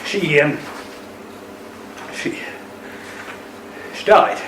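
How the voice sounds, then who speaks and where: An actor playing an earnest, polite British ex-forces husband in a radio play. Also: male voice, extremely slow. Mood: shaken. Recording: roomy amateur recording with faint noise.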